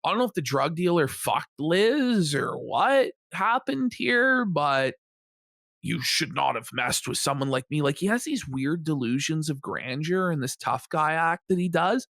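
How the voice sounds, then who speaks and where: Casting: animated male co-host, mid-pitched, scornful and sweary, reacting casually in a dry space. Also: a little slow, vocal fry, no echo. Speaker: show co-host. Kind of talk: casual profane reaction commentary.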